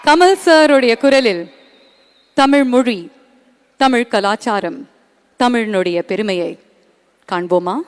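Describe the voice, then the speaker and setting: A woman's voice: on the high side, very fast, long pauses, clean tone. A woman with an Indian accent making a formal, emphatic ceremony announcement as an event host. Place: large event venue. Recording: reverberant venue PA.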